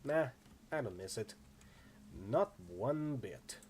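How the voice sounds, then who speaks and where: Man voicing an easygoing, animated orc swordsman. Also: medium pitch, slow, a little hiss or hum. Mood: candid, wry. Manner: laid-back, chatty street slang. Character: easygoing, friendly young male orc wandering swordsman. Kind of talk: acted reading of role-playing game dialogue.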